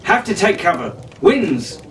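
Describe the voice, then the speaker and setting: A cocky, excitable teenage pirate captain from an adventure storybook, played by a male reader. He talks with swagger. The recording is roomy, with faint noise. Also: high-pitched, a little slow, pitched above the performer's own voice, exaggerated voice. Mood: panicked. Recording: echoey room, distant mic, faint hiss.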